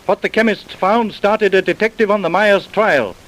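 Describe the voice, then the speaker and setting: A man's voice: high voice, normal speed, a bit bright, poor audio. A man giving formal, dramatic scripted narration for an old-time radio crime drama.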